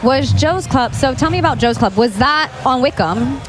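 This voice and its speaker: A woman's voice: high-pitched, lively intonation, moderate pace, over music. A woman around her forties chatting casually and anecdotally as a podcast co-host. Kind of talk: casual chat. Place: live podcast at a restaurant.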